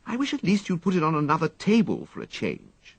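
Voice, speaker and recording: A man voicing a fretful, flighty young upper-class English gentleman who dithers and exclaims plaintively, in an audiobook story. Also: on the high side, huge pitch swings, brisk, creaky, breathy edge, dry recording, voice pushed up, exaggerated voice. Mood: petulant, morose. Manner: exclamatory, dithering, drawling dandyish complaint.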